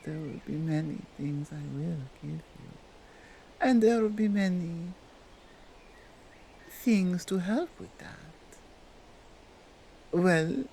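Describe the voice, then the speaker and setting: Slow, mellow Nordic demon seductress, acted by a woman. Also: lowish voice, slight background noise, low-quality recording. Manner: halting, deliberate speech in foreign-sounding broken English. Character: dominant, tender, seductive female Krampus, otherworldly gift-bringer. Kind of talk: ASMR roleplay monologue.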